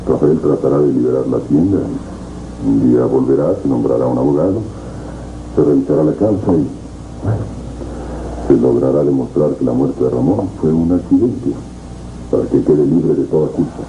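Very deep-voiced reassuring telenovela gentleman. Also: moderate pace, pauses often. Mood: firm, persuasive. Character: protective male relative, firm, calmly reassuring. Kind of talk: 1970s telenovela acting. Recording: muffled, noisy, echoey old TV tape.

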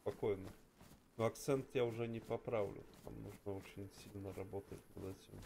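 A man from Latvia chatting casually over voice chat while gaming, easygoing and calm as he explains. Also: fairly low pitch, brisk, creaky, a little hiss or hum. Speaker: gamer on voice chat.

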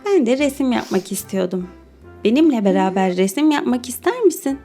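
A woman's voice: a little high, big pitch swings, a little fast, warm tone, pitched below the performer's own voice. A loving animal mother for a children's cartoon, played by a woman. She is soothing and encouraging toward her little one, with background music.

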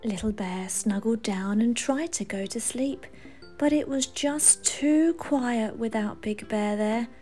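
A woman with a fairly high voice reads a children's bedtime story very slowly. She is expressive and leans into dramatic words, with soft music behind her. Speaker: storyteller, channel host.

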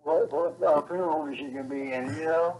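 A middle-aged man on a phone call, heard through a phone speaker, halting and pleading and repeating himself. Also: a little high, steady tone, crowd noise. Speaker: prank call victim on the phone. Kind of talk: phone conversation.

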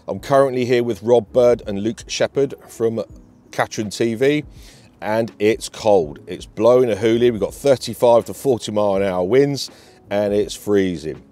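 Low-pitched British man presenting angling. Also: normal speed, dark tone. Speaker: angler presenting a fishing feature. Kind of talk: instructional talk to camera. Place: outdoors on a lake bank.

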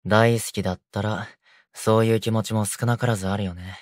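Man acting gentle young delinquent, dry close sound. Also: fairly low pitch, fairly even intonation, fairly quick, no room sound, close to an everyday voice. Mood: gentle, concerned. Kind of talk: Japanese boys' audio drama.

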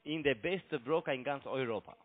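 A man's voice: medium pitch, moderate pace. Foreign-accented man on a tinny phone line. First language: not German. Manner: broken German, repeats phrases insistently. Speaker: phone broker and scam caller. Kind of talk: argumentative phone conversation. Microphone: telephone.

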